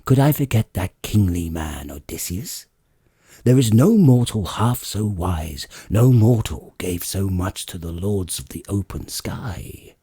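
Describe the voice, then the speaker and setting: Man playing grand old father god, deep, dark, ponderous, exasperated, lordly, epic audiobook. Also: expressive, a little slow, husky, no echo, clean studio sound, exaggerated voice. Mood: musing, conciliatory.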